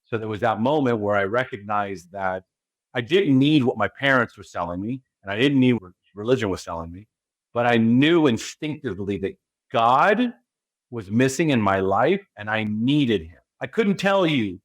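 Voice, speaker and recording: A man's voice: mid-range voice, animated, moderate pace, dry recording. A man from California with an American accent, a spiritual guide being interviewed, who talks emphatically and keeps asking rhetorical questions. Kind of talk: interview talk.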